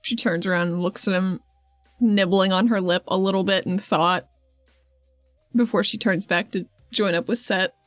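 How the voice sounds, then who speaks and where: A woman's voice: medium pitch, steady tone, normal speed, dry recording, soft background music. Woman playing a tabletop game, dry sound. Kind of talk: casual in-game narration.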